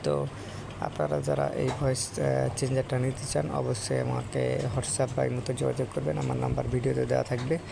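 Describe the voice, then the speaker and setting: A man with a Bangladeshi accent gives an informal, halting tutorial as a vlogger, recorded on a phone. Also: mid-range voice, gravelly. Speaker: vlogger demonstrating an app. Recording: noisy phone recording, steady background noise.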